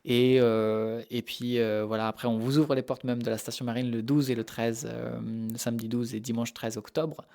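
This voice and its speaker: A man's voice: mid-range voice, little pitch movement, moderate pace, few pauses, darkish tone. A man from Normandy answering interview questions as a researcher, informally and with hesitant, repeated words as he explains. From Normandy, France. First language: French. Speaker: interview guest, animal behaviour researcher.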